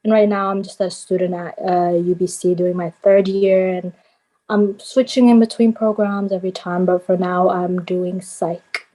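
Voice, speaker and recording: A young woman from Canada gives a casual introduction over a video call, poking gentle fun at herself. Her voice is low and mellow, and it stays level without much lift. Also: flat delivery, moderate pace, poor audio. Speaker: panelist.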